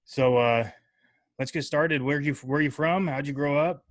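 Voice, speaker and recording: A man's voice: on the high side, unhurried, no room sound, clean studio sound. A man hosting a casual podcast interview, talking loosely with plenty of filler words. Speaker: podcast host.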